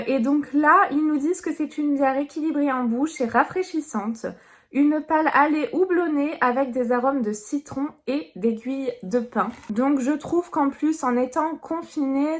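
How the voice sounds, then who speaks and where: A high-pitched woman from Nice, France, talking through an unboxing in a casual, chatty way. She sounds upbeat and leans on fillers as she goes from item to item. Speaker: box reviewer. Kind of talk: casual product review.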